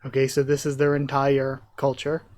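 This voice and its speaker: A man's voice: mid-range voice, monotone, normal speed, a little mellow, dry recording. A man giving a casual live reaction as host, thinking aloud and speculating as he goes. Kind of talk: live reaction, reading comic dialogue aloud with casual commentary.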